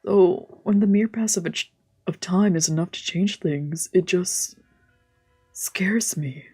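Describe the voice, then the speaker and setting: A woman voicing an anxious, wistful, androgynous young man from a visual novel, in a casual, confiding and halting way. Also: fairly low pitch, darkish tone, slightly rough. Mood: pensive, afraid. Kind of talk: reading visual novel dialogue aloud. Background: game music.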